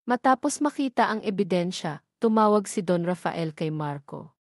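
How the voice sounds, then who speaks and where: A woman's voice: fairly low pitch, expressive, moderate pace, no room sound, clean studio sound. A woman delivering scripted narration for an audio drama story.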